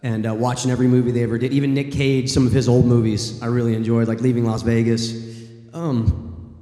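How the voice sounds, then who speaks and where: A man's voice: medium pitch, little pitch movement, a little fast, mellow. A man voice actor on a convention panel, answering questions in a loose, rambling way with many ums and restarts. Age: adult, former college theater student. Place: large convention hall. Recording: echoey convention hall.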